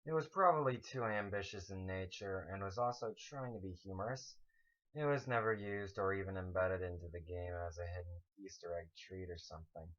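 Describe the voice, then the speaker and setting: A man's voice: low-pitched, brisk, fairly bright tone. A man reading out an explanatory statement as scripted narration, with a dull lo-fi sound.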